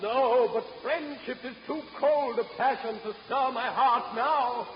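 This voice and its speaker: An actor playing a lovelorn stage melodrama hero, declaiming grandly and hammily in a radio play. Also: male voice, very high-pitched, steady tone, unhurried, dull audio, slight background noise. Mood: ardent. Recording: echoing hall, old radio recording.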